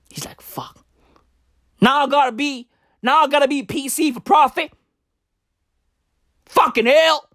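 Woman from southern Africa, Tswana native speaker, comic rant, profane slang, lively swooping pitch, dry close sound. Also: dry recording. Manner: profane, rambling, animated slang-heavy delivery. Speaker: comedian. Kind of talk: stand-up style comedic rant.

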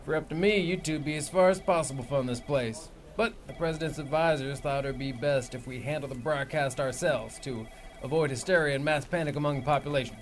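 An actor playing a brisk, businesslike male army major. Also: on the high side, a little hiss or hum, lightly acted. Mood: firm. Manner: curt, businesslike. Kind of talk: audio drama acting.

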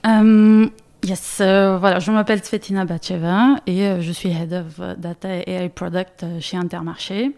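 A woman's voice: on the low side, steady tone, moderate pace, dark tone. A woman, a guest in a casual interview, talking with many fillers and frequent laughter. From Bulgaria. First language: Bulgarian. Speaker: interview guest, data leader.

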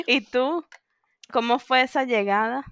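A woman co-hosting a podcast, telling stories in animated casual chat with quoted asides. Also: high-pitched, no room sound, dull audio. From Venezuela. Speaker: podcast co-host.

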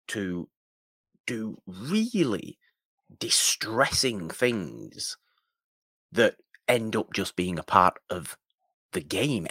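Guy talking movies on a podcast, really slow n halting, stresses stuff, dry close audio. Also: mid-range voice, fairly bright tone, dry recording. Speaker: podcast co-host. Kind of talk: casual film discussion.

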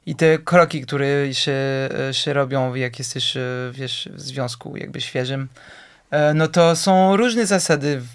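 A man's voice: medium pitch, measured pace, smooth. A young man from France, a guest in a casual interview, speaking hesitantly with many fillers, restarts and mixed-up word forms. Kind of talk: casual conversation.

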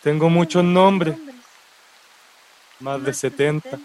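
Radio drama: calm, mournful ghost of an executed man, Chilean accent, unhurried delivery, slightly high voice. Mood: gentle, solemn. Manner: slow, measured storytelling.

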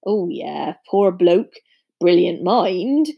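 A woman's voice: fairly low pitch, expressive, extremely slow, creaky, breathy edge, lo-fi sound, voice pushed down, heavily acted. A woman voicing a big, good-natured man with a blunt, folksy, emphatic way of talking in a storybook. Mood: hearty, confiding. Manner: folksy, informal, emphatic speech.

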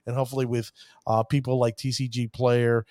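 A man's voice: medium pitch, little pitch movement, a little fast, a little mellow, no echo, studio quality. A man hosting a card-game show, talking with showman flourishes and stumbling over player names. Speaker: podcast host. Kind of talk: hype-filled casual show talk.

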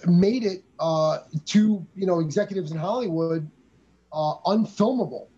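A man co-hosting a casual movie review, telling behind-the-scenes stories in an animated way. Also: high-pitched, normal speed, breathy edge, dull audio. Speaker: podcast co-host.

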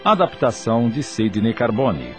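A man's voice: mid-range voice, wildly swooping pitch, unhurried. A man with a Brazilian accent, announcing and narrating a scripted radio drama. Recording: loud music behind the voice.

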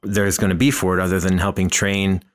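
A man with a deep, smooth voice hosts a casual podcast conversation. He muses at length, restarting phrases as he works toward his point. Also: little pitch movement, fairly quick. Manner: thoughtful, rambling, often restarts phrases. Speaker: podcast host.

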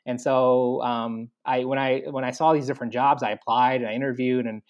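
A man's voice: mid-range voice, flat delivery, fairly quick, muffled recording. A man telling his career path in an interview, chatty, full of likes and fillers. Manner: chatty, many likes and fillers, acts out his own thoughts. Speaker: guest, university staff.

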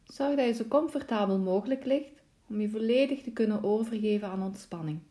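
A woman leading a guided relaxation meditation as a yoga nidra instructor, giving calm, soothing, measured instructions. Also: mid-range voice.

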